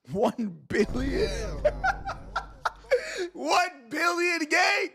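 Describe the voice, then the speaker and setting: A young man streaming live, chatting casually with his viewers in loud, laughing, slang-heavy banter. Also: extremely high pitch, huge pitch swings, extremely slow, gravelly. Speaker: streamer reacting to videos.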